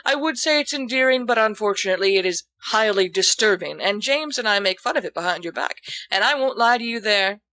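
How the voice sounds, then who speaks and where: A woman's voice: mid-range voice, expressive, brisk, hardly any pauses, bright, forceful tone, dry recording. Woman playing a brash, melodramatic teasing schoolboy. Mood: mock solemn teasing. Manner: theatrical, mocking, emphatic. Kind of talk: expressive audiobook character dialogue.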